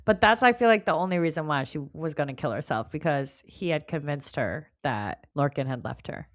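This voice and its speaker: A woman's voice: deep voice, lively intonation, fairly quick, no room sound, studio quality. A woman co-hosting a book club podcast, speaking in an informal, colloquial way as she chats and recaps. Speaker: podcast co-host. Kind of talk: casual book club chat with read-out chapter recap.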